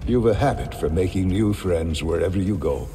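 An older man playing a courteous, learned Jedi scholar in a video game, speaking in a measured, formal, gently wry way. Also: low-pitched. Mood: genial. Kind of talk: video game cutscene acting. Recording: reverberant game scene with music. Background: cinematic music.

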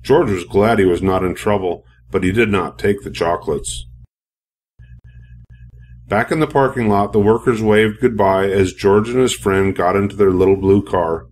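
An older man reading a children's picture book aloud as an expressive storyteller. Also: lowish voice, moderate pace. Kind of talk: scripted narration, picture book read-aloud.

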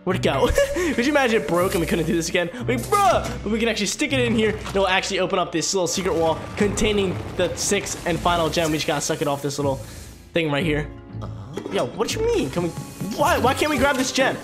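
A man's voice: high voice, very animated, quick pace. A young man with a stuffy, congested voice, commentating his video game playthrough to viewers on a headset mic, hyped and chatty. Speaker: gaming channel host. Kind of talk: gameplay commentary. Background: game music.

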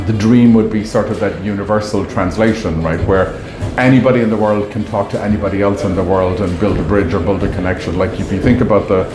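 Low-voiced middle-aged executive, roomy echo. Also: male voice, steady tone, fast talker, few pauses. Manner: explanatory, often checks with "right?". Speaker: company executive being interviewed. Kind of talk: conversational interview explanation. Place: indoor meeting room at trade show. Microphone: clip-on lapel mic. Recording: roomy echo, music underneath.

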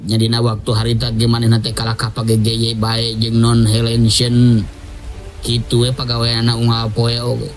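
A man's voice: medium pitch, completely flat delivery, moderate pace. A man with a Sundanese accent narrating a folktale in a dramatic oral storytelling style. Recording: music under the voice.

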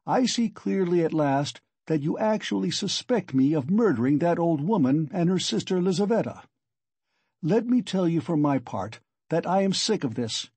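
A man's voice: a little high, animated, moderate pace, a little mellow, husky, dry recording, pitched above the performer's own voice. A man voicing a proud, agitated male suspect in an audiobook, speaking distinctly with defiant indignation. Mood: indignant. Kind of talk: audiobook character acting.

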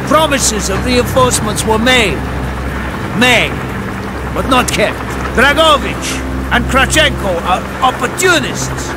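An actor playing a proud, defiant Russian army captain with a Russian accent and a resolute, declamatory delivery in a war game. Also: male voice, very high-pitched, expressive, slow pace, heavy background noise, heavily acted. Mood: bitter. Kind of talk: dramatic video game acting.

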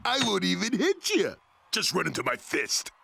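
A man playing a big, menacing, taunting cartoon wrestler with a gravelly voice. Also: high-pitched, wildly swooping pitch, normal speed, pushed and bright, a little hiss or hum, full character voice. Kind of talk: cartoon voice acting.

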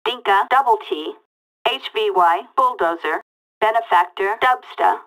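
A woman's voice: medium pitch, a little slow, fairly bright tone, low-quality recording. An actress playing a female police dispatcher in a video game, reading out short callouts in a clipped, detached way through a police radio filter. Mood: flat, procedural. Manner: clipped, detached, word-by-word delivery. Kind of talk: reading out vehicle makes and models as scanner callouts.

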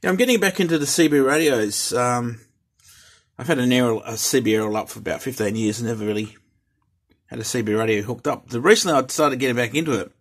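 Middle-aged Australian male hobby host, husky tone, hesitant and repetitive, casual delivery. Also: mid-range voice, quick pace. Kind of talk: casual hobby vlog talk.

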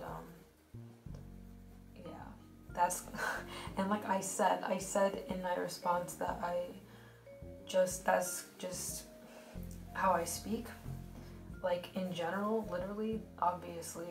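A woman talks casually and bluntly over her drawing, her delivery flat and monotonous and full of fillers. Her voice sits a little low. It is recorded in a roomy space, with music playing underneath. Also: gravelly. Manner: flat, monotonous speech pattern; blunt and rambling, many fillers. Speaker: art vlogger.

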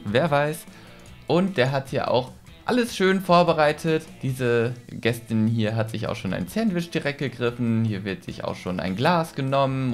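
A man in his twenties giving casual, conversational playthrough commentary to viewers as a video host, chatting with frequent asides. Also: mid-range voice. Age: 20s. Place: small furnished room at home. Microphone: desk microphone close by. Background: background music.

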